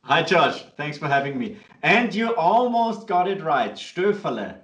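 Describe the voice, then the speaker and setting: A man from Vienna with an Austrian accent, speaking as a guest in a casual interview and often pausing with fillers and restarts. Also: a little high, very animated, fairly bright tone, roomy sound, far from the mic. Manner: hesitant, many fillers and restarts, laughs easily. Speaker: guest, fund manager.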